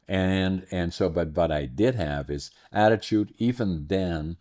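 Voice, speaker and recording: Older man, very deep voice. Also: a little slow, darkish tone. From Netherlands. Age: 80s. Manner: rambling, storytelling, self-correcting. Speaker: podcast host. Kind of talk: casual interview chat.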